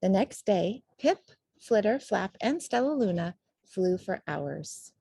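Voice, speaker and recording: A woman reading a children's storybook aloud as an expressive narrator. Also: mid-range voice, slow, creaky, slightly rough, no room sound. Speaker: storybook reader.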